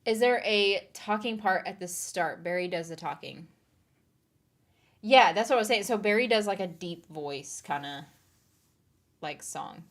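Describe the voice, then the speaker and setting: Chatty woman with a lowish voice. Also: animated, unhurried, pauses often. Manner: chatty, reading viewer comments aloud and laughing. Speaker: streamer. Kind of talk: casual live-stream chat.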